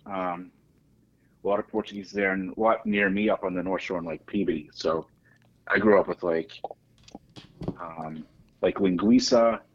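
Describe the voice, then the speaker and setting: A man's voice: deep voice, fairly even intonation, normal speed, pauses often. A man from Massachusetts as a podcast guest, easygoing in casual chat. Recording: slightly dull lo-fi audio.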